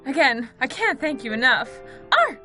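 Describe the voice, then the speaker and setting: Cartoon news skit: swooning girl husky, very high, lively barks voiced by a man. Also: moderate pace, faint music behind, exaggerated voice. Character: female husky dog, sweet and swooning.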